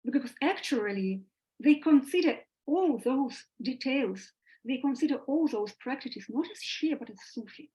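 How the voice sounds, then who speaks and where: A woman's voice: sits high, lively intonation, measured pace, mellow, highs cut off. A woman giving an academic talk at an online seminar, hesitant and full of fillers.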